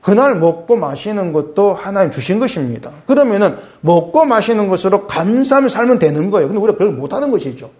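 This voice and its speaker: A middle-aged male pastor preaching conversationally, asking his congregation questions and dropping low and soft when he reads scripture. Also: very animated, fast, hardly any pauses. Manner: swings between raised emphatic lines and quiet low asides; reads scripture aloud in a lower, softer voice. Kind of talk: sermon.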